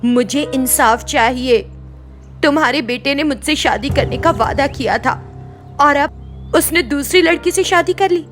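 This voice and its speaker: A woman's voice: high voice, unhurried, a bit bright, soft background music, voice pushed up. A woman voicing a bold, scheming young woman who talks assertively and insistently. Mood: indignant, threatening. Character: indignant young woman friend demanding justice, bold and scheming.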